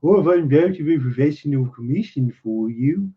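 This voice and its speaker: A man's voice: a little high, lively intonation, fairly quick, natural voice. A man voicing a secretive, insistent German colonel in his late thirties from a storybook, speaking formally and guardedly. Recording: dull, muffled lo-fi recording.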